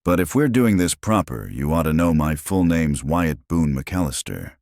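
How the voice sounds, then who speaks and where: A man voicing a gruff, steady middle-aged frontier marshal in a measured, low drawl. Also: no echo, clean studio sound.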